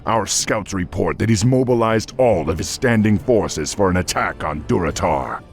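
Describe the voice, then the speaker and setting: A stern, noble orc war chief from a fantasy game. His low, gravelly voice swings widely in pitch as he speaks. The delivery is measured and commanding, like formal oratory. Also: expressive, soft background music. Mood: solemn, proud. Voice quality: deep, gruff, gravelly. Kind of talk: video game fantasy voice acting.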